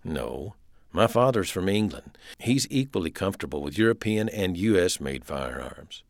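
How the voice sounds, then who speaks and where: A man reads as a young American prisoner in an audiobook. He speaks low and subdued, guarded but respectful, in a close, dry recording. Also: very animated, normal speed, vocal fry, no echo, exaggerated voice. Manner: muttering, soft, subdued.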